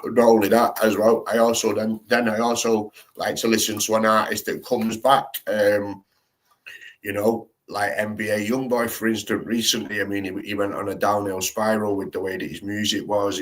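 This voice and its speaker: A man's voice: fairly low pitch, rough recording. A man hosting a live stream, chatting casually in slangy, rambling talk full of restarts.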